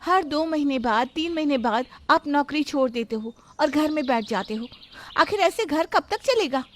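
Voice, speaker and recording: A woman plays a young married woman in a moral story. She is practical and fed up, speaking her mind insistently in a high voice. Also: animated, normal speed. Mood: scolding. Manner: scolding, insistent.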